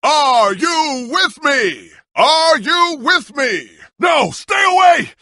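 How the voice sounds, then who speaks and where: An actor playing a proud warrior hero in a video game, with a pompous, theatrical, commanding way of speaking. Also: male voice, extremely high pitch, very animated, drawn-out words, pushed and bright, dry recording, raised voice, clean studio sound, heavily acted. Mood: urgent, shouting. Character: proud, grandiose warrior hero, an adult man. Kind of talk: dramatic game dialogue and heroic speeches.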